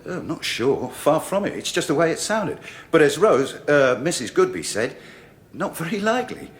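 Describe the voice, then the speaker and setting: Harry, the chummy joker. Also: male voice, a little high, very animated, normal speed. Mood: nervous. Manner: jokey, chummy, laughing, stammering under questioning. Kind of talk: 1980s radio drama acting.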